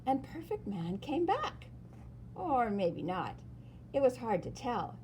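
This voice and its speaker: A woman reads a children's story aloud expressively, with dramatic emphasis and pauses. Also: on the high side, very animated, darkish tone, slight background noise, rough recording. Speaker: storyteller reading a picture book aloud.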